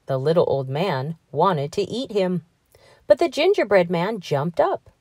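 A woman narrating a children's story as an expressive read-aloud host. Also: lowish voice, a little slow, no echo, clean studio sound. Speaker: storybook reader, channel host.

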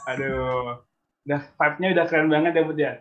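A man's voice: a little high, brisk. A young man working as an event MC, upbeat and playful, bantering with his co-host on a video call. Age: young adult. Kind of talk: lively MC banter. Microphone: video call webcam. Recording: tinny phone-like video call audio.

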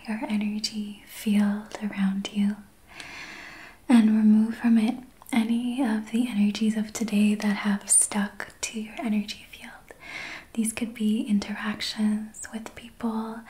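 Female ASMR Reiki host: breathy whisper, soft mellow tone, gentle and soothing, very even delivery. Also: medium pitch. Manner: slow, gentle, soothing guidance. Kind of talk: soft-spoken whispered ASMR guided healing session.

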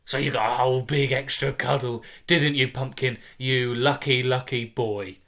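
A man's voice: medium pitch, steady tone, moderate pace, fairly bright tone, lightly acted. A relentlessly cheerful middle-aged mother, acted by a man, doting and cooing. The delivery is chirpy and consoling, in the manner of a comic story told aloud. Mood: cooing, cheery.

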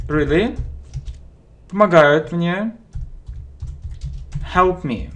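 A man teaching an English lesson, dictating each phrase slowly word by word and repeating it, at a desk in his home. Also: a little high. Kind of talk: slow dictation-style language lesson. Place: home room, indoors. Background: keyboard typing and clicks.

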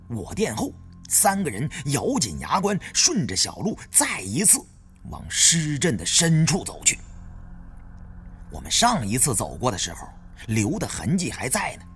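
A man reading dramatic first-person audiobook narration with an expressive storyteller's delivery. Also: mid-range voice.

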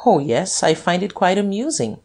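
A suave aristocratic gentleman from a storybook, put on by a woman narrator. His voice is husky and pitched up from the narration. He is coolly superior, scornful and teasing. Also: expressive, a little slow. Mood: cool, light. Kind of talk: dramatized audiobook reading.

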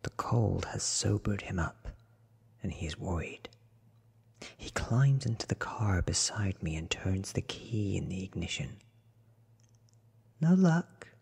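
A man in his thirties giving a soft, whispered ASMR reading of a story, breathy and deliberate, close to the microphone. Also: low voice. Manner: slow, hushed, deliberate. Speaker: ASMR book reader. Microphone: close microphone in front of him.

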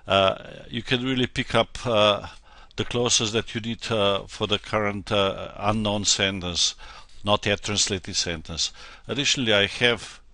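A man with a Slovenian accent, a native Slovenian speaker, giving an unscripted software tutorial in a halting, hesitant way. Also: on the low side, no room sound. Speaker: translator demonstrating software.

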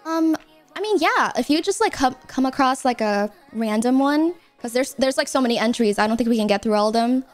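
A woman's voice: high voice, expressive, a little fast, faint music behind. A woman hosting a casual streamed chat with friends, rambling and playfully trash-talking.

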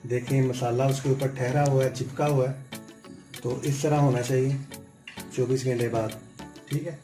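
Guy with pakistani urdu accent walking you through a recipe, steady and patient, music and oil sizzling behind. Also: mid-range voice, normal speed. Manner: calm step-by-step instructions, often saying "theek hai". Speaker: cooking channel host. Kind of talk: home cooking tutorial voice-over. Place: home kitchen. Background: music and frying sizzle.

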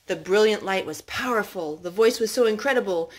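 A woman reading children's picture books aloud in an expressive, measured storytime style. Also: mid-range voice. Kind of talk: read-aloud storytime narration. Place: indoors at home.

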